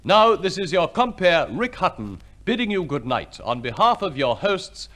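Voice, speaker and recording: Old 50s radio announcer guy, formal and polished, slightly high voice with lots of rise and fall. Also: sing-song, normal speed. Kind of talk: 1950s scripted radio announcing. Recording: old radio recording with faint noise.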